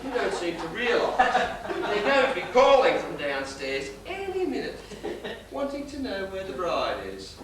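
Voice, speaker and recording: A man's voice: high-pitched, wildly swooping pitch, unhurried, a crowd in the background, heavily acted. An actor playing an exasperated, blustering middle-aged father of a bride in a live comic stage play. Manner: loud, blustering, exasperated, theatrical. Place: live stage in a small theatre. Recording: echoey theatre stage, distant mic, faint noise.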